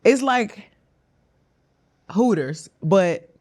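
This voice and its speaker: A woman's voice: mid-range voice, animated, drawn-out words, a little mellow. Young woman, Houston drawl, slangy, laughing, candid interview talk. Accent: Southern US, Houston Texas. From Houston, Texas. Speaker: guest, rapper. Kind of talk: casual interview chat.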